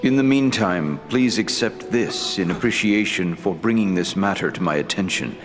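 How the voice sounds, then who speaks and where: A man playing a wise, ancient elf lord in a fantasy game, speaking gravely in formal, deliberate phrasing. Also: low voice, a little hiss or hum, close to an everyday voice. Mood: measured, reassuring. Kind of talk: fantasy game dialogue acting. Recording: long hall reverb, faint music.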